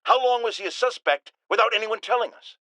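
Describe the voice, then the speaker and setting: A man's voice: high-pitched, fairly quick, sharp tone, dry recording. An actor playing a blustering, indignant middle-aged man who hosts an opinion radio show in a video game, pompous and theatrical. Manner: pompous, theatrical, emphatic delivery. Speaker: talk radio host. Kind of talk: bombastic opinion radio monologue.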